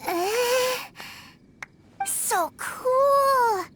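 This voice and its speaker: A woman's voice: very high voice, lively intonation, extremely slow, exaggerated voice. A woman voicing an adoring, innocent little boy in a video game, gushing and wide-eyed. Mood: awed.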